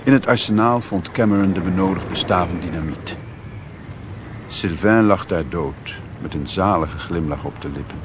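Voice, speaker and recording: Radio play narrator, male actor: deep, sombre adventurer telling a grim tale, faint background noise. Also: normal speed, close to an everyday voice. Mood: grave. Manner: sombre, literary storytelling. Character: foreign man recounting his grim adventures, sombre. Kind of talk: scripted radio drama narration.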